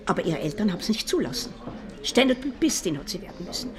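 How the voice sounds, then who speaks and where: A woman's voice: medium pitch, sing-song, quick pace, close to an everyday voice. A woman acting as a chatty, sentimental grandmother with an Austrian accent in a radio drama. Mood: wistful. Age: older.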